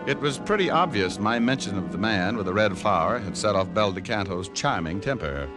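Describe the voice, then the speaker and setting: An actor playing a flippant, unflappable American private detective in an old radio drama, with dry wit in dialogue and narration. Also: male voice, fairly low pitch, expressive, brisk, over loud music, close to an everyday voice. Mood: wry narration.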